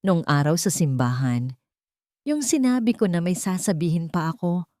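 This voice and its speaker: A woman voicing a gentle, forgiving young wife and mother with a sincere, measured delivery. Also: lowish voice, big pitch swings, dry recording, studio quality. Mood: nervous, happy.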